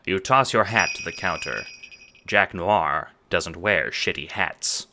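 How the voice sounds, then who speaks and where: Deep-voiced man narrating, with background noise. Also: slow. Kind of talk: scripted audio drama narration in second person.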